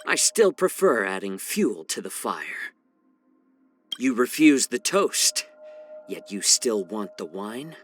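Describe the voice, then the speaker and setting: An actor playing a calm, wry, courteous male healer and strategist in a video game, with a measured, genteel, gently teasing delivery. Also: mid-range voice, full character voice. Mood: poised, encouraging. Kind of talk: scripted video game character voice lines.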